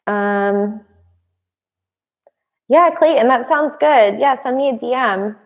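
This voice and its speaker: Chatty woman with a gravelly edge. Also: on the high side, slow pace. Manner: chatty, reads viewer comments aloud and answers them; laughs often between remarks. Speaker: live stream host. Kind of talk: casual live Q&A chat.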